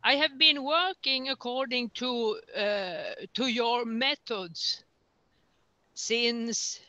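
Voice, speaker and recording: A woman from northern Sweden, speaking haltingly as she introduces herself on a group call. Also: on the high side, pushed and bright, no room sound. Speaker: class participant. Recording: dull, distant video-call audio.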